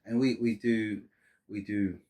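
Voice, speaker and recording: A middle-aged man from Samoa, speaking. His voice sits very low and sounds husky. He talks as a podcast guest, winding through his thoughts with restarts and fillers. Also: little pitch movement, a little slow. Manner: rambling, restarts and fillers, warm laughter-prone storytelling. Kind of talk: casual interview chat.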